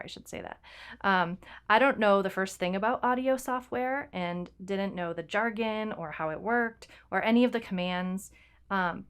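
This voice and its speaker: A woman co-hosting a podcast, talking casually and explaining things in an informal way. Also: medium pitch. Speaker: podcast co-host and fiction writer.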